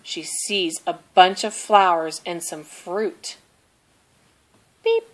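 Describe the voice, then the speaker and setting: A woman reading a children's picture book aloud in a deliberate, simple storytelling manner, with expressive delivery of the characters' lines. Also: on the low side. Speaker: storybook reader.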